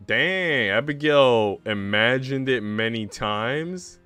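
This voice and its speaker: A man's voice: medium pitch, expressive, slow, pushed and bright, soft background music. A young man streaming a visual novel read-aloud, narrating with casual, slangy reactions.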